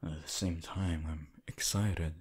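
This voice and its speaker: A man's voice: low voice, fairly even intonation, takes it slowly, slightly rough, full character voice. A man acting a sleepy, laid-back teenage hero student in an ASMR roleplay, murmuring intimately and gratefully with sighs to the listener. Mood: wry, confiding. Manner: drowsy, sighing, intimate murmur.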